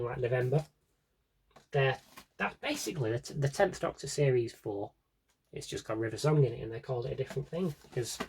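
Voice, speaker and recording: A man with a husky, slightly rough voice at a middling pitch talks like a casual vlogger. His delivery is unscripted and rambling, dotted with tongue-in-cheek asides. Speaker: vlogger showing an audio drama CD collection. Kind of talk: casual unscripted chat to camera.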